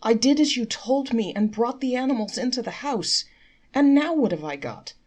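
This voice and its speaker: Poor, overwrought man for a storybook, played by a woman: raised pitch, emphatic, pleading delivery. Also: lively intonation, normal speed, darkish tone. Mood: distraught, pleading. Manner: wailing, dramatic, pleading. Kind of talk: storybook character voice.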